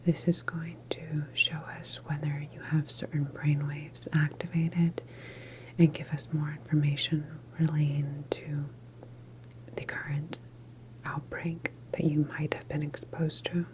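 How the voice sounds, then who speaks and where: A woman whispering in a breathy, calm and reassuring clinical manner, as an ASMR doctor roleplay. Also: deep voice, a little slow, noisy background. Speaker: ASMR performer playing a doctor in a medical roleplay.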